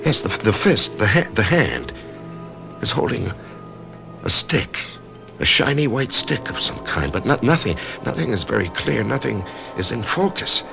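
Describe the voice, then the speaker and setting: A gravelly, dazed writer. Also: male voice, lowish voice, big pitch swings, over music. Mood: dazed, halting. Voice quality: gravelly, hushed. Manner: halting, stammering when shaken. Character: dazed male writer waking from surgery. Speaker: narrator and lead character. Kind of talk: radio drama acting.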